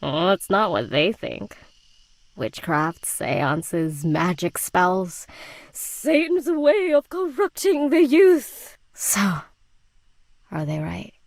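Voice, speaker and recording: A woman's voice: on the low side, sing-song, extremely slow, no echo, heavily acted. A woman voicing a rebellious, sardonic teenage schoolgirl in a visual novel, with a dry, taunting, laid-back manner. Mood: challenging, mocking.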